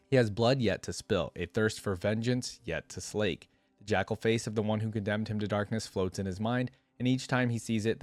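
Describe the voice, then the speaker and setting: Low-voiced man reading a story, dry recording. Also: dry recording. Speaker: reaction video host reading a story aloud. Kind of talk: dramatic story reading with casual asides.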